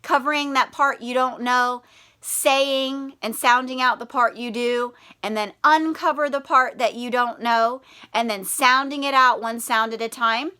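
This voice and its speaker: A woman's voice: a little high, fairly even intonation, unhurried, sharp tone, clear, resonant tone. A woman who teaches primary school, reading aloud and talking directly to children with prompting questions.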